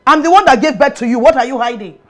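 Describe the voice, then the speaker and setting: A middle-aged Nigerian mother in a film drama played by a woman, strict and suspicious. Her pitch moves freely as she scolds and probes. Music plays under the dialogue. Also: lively intonation, a little fast, close to an everyday voice. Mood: agitated, demanding. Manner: scolding, probing. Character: suspicious, strict, protective mother of a grown son. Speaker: actress.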